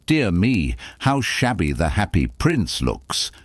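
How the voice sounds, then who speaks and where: A man's voice: low voice, wildly swooping pitch, slow pace, no room sound, full character voice. A man voicing a pompous, self-important town mayor in a storybook, in exclamatory phrases. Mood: disdainful.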